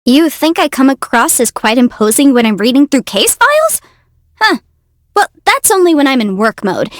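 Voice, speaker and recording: A woman's voice: high voice, no echo, clean studio sound. A woman voicing a cute, confident young female legal adviser in a video game, youthful and articulate with a theatrical, self-assured delivery. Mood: chatty. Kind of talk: video game character voice lines.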